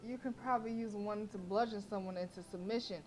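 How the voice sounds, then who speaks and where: A woman's voice: mid-range voice, fairly even intonation, quick pace. A woman game streamer reading story text aloud expressively, with playful casual asides. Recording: faint game music behind. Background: game music.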